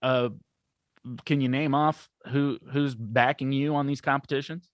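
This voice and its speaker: A man's voice: mid-range voice, steady tone, a little slow, no echo. Chatty male podcast host, dry sound. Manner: friendly, chatty questions, many affirmations. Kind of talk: casual podcast interview.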